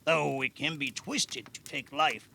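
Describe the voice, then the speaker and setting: A man playing a patient, modest veteran magic caster in an amateur audio drama, gravelly and measured, with thoughtful hums. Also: on the high side, fairly even intonation, sharp tone, slight background noise, full character voice. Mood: explaining. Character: Alan, weathered veteran male caster, patient, modest, wryly guarded.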